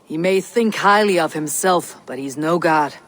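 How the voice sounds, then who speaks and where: A woman's voice: sits low, normal speed, natural voice. An actress playing a proud, stubborn Viking warrior woman in a video game cutscene, speaking firmly and bluntly. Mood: skeptical.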